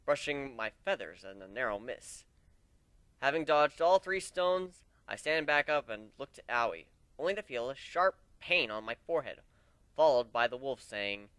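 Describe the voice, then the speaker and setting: A man's voice: mid-range voice, expressive, moderate pace, bright, forceful tone, clean tone, no room sound. A man giving an amateur dramatic reading of a first-person fantasy adventure story.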